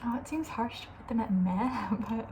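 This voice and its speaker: A woman speaking in a soft, breathy ASMR style, often slipping into a whisper, chatting casually in a gentle, hesitant way. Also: on the high side, moderate pace, a little hiss or hum. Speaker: ASMR video creator, host.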